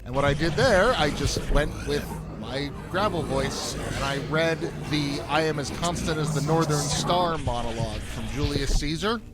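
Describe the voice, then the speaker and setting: A man's voice: on the high side, normal speed, hardly any pauses, very noisy. A man who voiced a game character, chatting candidly and with some swearing about how he made the voice. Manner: candid and profane, laughs easily. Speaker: voice actor and game team member. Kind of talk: casual behind-the-scenes chat. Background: music.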